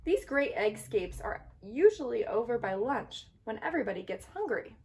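A young woman reading a children's picture book aloud at home in an expressive, clearly phrased way. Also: a little high, normal speed. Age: young adult. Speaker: story-time reader. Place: small living room at home, indoors.

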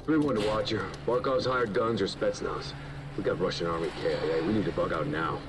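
An actor playing a terse, urgent CIA field operative in a video game, talking in clipped military phrases. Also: male voice, sits low, brisk, natural voice. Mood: grim, urgent. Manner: clipped military radio talk. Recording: distant game audio with background noise.

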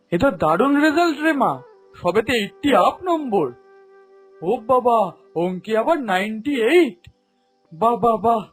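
A man voicing a proud, indulgent middle-aged father in an animated cartoon, emphatic and expressive. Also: extremely high pitch. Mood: delighted.

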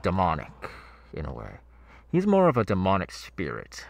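A man plays a masked demon's henchman in a horror ASMR roleplay, sinister and chatty. The voice is low and gravelly, recorded dry and close. He rambles haltingly and takes his time, soothing and threatening at once. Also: wildly swooping pitch, no echo, exaggerated voice. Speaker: ASMR voice actor.

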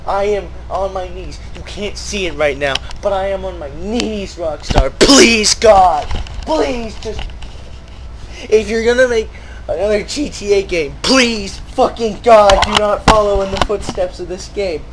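A man's voice: high voice, a little slow. A man giving mocking, sarcastic game commentary, swearing and ranting as he goes. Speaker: gaming video host. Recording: noisy, game sound behind.

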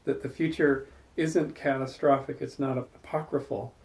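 Gravelly, mellow-voiced man telling stories. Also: mid-range voice, fairly even intonation, moderate pace. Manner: thoughtful, rambling, builds long spoken stories. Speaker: salon host. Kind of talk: reflective storytelling in casual group talk.

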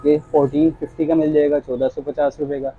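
A young man giving a casual, polite sales pitch, quoting products and prices as a shop salesman. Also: little pitch movement, quick pace, narrow, tinny audio, faint music behind. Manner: polite, addresses customer as sir. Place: small tiled fitness equipment shop, indoors.

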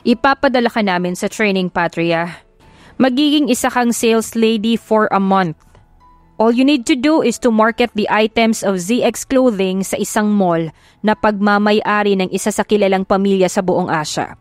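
A woman's voice: mid-range voice, normal speed, no room sound, lightly acted. A woman voicing a stern, controlling middle-aged father with a Filipino accent, firm and scolding, in an audio drama. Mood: coldly commanding. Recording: music behind the voice.

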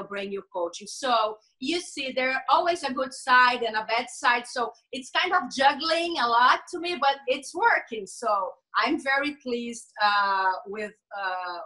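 A woman with a Brazilian Portuguese accent, a lawyer and coach, speaking carefully in an interview, with many pauses and fillers. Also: a little high, pushed and bright, low-quality recording. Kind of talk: interview over video call.

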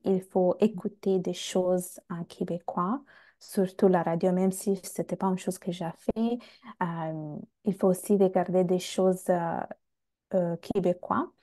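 A young woman whose first language is Italian, talking on a video call, speaking slowly and searching for words as she chats with the host. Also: on the low side, steady tone, mellow. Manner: slow, searching for words with restarts. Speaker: guest. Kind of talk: casual chat. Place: home room. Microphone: earphone mic on a video call.

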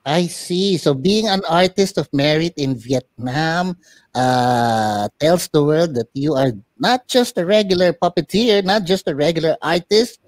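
A man voicing a boy puppet who hosts a talk show, interviewing in a courteous, deliberate, conversational way. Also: fairly high pitch, no room sound, lightly acted. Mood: courteous, curious.

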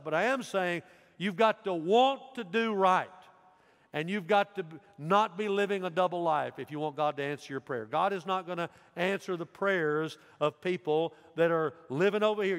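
A man's voice: high-pitched, moderate pace. An older man preaching a sermon from a church stage, direct and emphatic. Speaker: pastor. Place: large church sanctuary stage. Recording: long hall reverb in big sanctuary.

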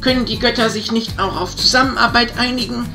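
A woman's voice: fairly high pitch, steady tone, brisk, gravelly, music in the background. A woman playing a blunt young male hero. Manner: blunt and defiant. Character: brusque young male hero, son of a god. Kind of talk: amateur radio play acting.

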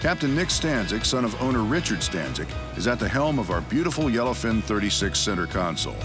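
Man narrating fishing television over loud music. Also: lowish voice, sing-song, fairly quick. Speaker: narrator and host. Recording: music under the voice.

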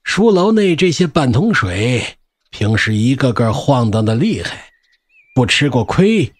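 An actor playing a spirited, easygoing old Confucian scholar in an audio drama, expounding wryly and unbowed. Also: male voice, mid-range voice, a little slow, dry recording. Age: older. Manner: wry, expounding, animated. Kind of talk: Chinese audio drama acting.

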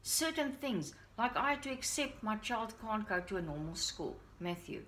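A woman's voice: mid-range voice, lively intonation, moderate pace, fairly bright tone, a little hiss or hum. A middle-aged woman with a Dutch accent speaks to the camera from her living room. She talks in an earnest, confiding way, sharing her thoughts as a parent vlogger. The voice is picked up by a camera a short way off. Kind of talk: personal talk to camera. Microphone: camera on a stand at a short distance.

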